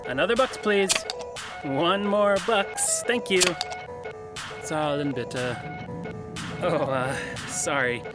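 Upbeat bilingual globetrotter, highish voice. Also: male voice, lively intonation, slow, pauses often, over loud music. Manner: chummy, hesitant, switches between English and German. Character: smooth-talking, upbeat male globetrotter mixing English and German. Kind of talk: radio drama acting.

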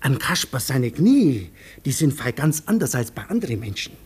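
Radio drama: simple, good-natured male Franconian warder, very husky, lively rise and fall. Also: mid-range voice, normal speed. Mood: chatty, earnest. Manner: homely dialect, deferential, hesitant over learned words. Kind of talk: German radio play acting.

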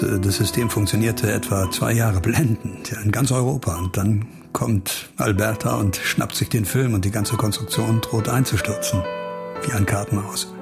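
An actor playing a hard-boiled doctor turned investigator in a German crime radio drama, ruthless yet remorseful. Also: male voice, lowish voice, gravelly. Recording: music bed under dialogue. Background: music.

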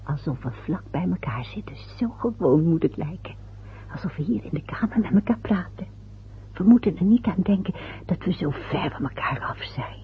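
An actress playing a hopeful, anxious mother who often speaks in a hush, in a radio play. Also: lowish voice, animated, normal speed, lightly acted. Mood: whispered. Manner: soft, often whispering, pleading. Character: anxious middle-aged mother, soothing and hopeful. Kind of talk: radio drama acting. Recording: thin phone-like old radio recording with faint hiss.

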